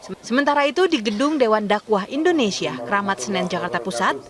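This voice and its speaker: A woman's voice: mid-range voice, lively intonation, a little fast. A woman reading a formal scripted news report as a voice-over. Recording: crowd noise behind voice-over. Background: crowd.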